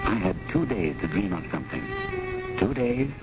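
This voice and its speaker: A man's voice: fairly low pitch, expressive, normal speed, over loud music, lightly acted. A man playing a harried, wry, fretful museum curator in a radio drama, politely exasperated. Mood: wry, rueful. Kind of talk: 1980 radio drama acting with first-person narration. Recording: dull, noisy old radio broadcast.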